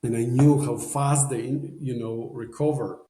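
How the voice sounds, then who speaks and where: A man's voice: medium pitch, steady tone, measured pace, dark tone, reverberant, low-quality recording. A man from Poland with a Polish accent, a podcast guest telling a story in casual, rambling talk full of "you know" fillers. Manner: rambling, many "you know" fillers, non-native phrasing.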